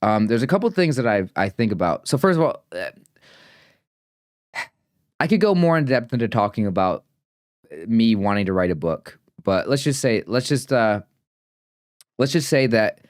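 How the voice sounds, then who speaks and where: A man's voice: on the low side, big pitch swings, normal speed, long pauses, darkish tone, no echo, clean studio sound. A man of twenty-nine talking casually and earnestly to camera in a vlog, rambling with fillers and restarts. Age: 20s. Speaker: vlogger. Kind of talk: casual reflective vlog talk.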